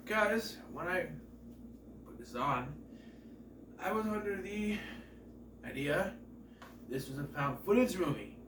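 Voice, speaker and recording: A man's voice: high-pitched, expressive, slow pace, pauses often. A man in his thirties, stuffed up and nasal from a cold, giving a chatty, joking movie review full of asides and self-corrections. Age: 30s. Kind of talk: casual rambling film review. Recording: echoey room, distant mic, noisy background.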